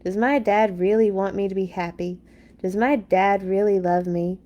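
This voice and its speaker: A woman's voice: medium pitch, fairly even intonation, moderate pace, mellow, muffled recording, natural voice. A woman voicing a discontented, self-centered young son in a children's Bible storybook, complaining and pondering. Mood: doubtful, brooding.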